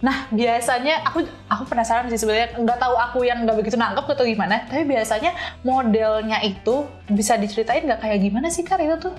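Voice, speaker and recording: A woman's voice: fairly high pitch, quick pace. A woman hosts a casual chat, asking curious questions and restating answers. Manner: informal, inquisitive, often restating answers. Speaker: podcast host. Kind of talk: casual chatty interviewing. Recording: roomy echo, faint music behind.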